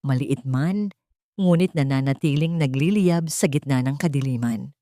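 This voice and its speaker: A woman narrating a scripted dramatic story in a measured, solemn and sentimental manner. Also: very deep voice, mellow. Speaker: narrator of audio drama stories.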